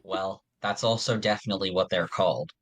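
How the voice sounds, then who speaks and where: A man with an extremely deep voice in a dry, echo-free recording. He chats casually as a podcast co-host, keeping his remarks short and deadpan. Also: brisk. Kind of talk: casual chat, brief interjections.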